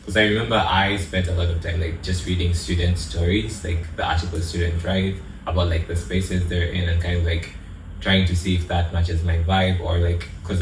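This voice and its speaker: A young man from Uganda talks as a college freshman on a panel, his voice low. He hedges with "like" and "kind of" as he thinks aloud. The sound is roomy and distant, with faint noise behind. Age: young adult. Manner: thoughtful, hedging with "like" and "kind of". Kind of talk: casual panel chat.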